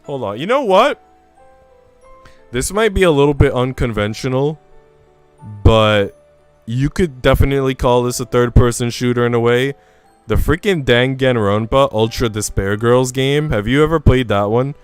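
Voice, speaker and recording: A man's voice: medium pitch, moderate pace, clean tone. A man chatting casually on a game livestream in an informal, slangy, rambling way. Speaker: game streamer. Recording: music playing behind the voice. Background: music.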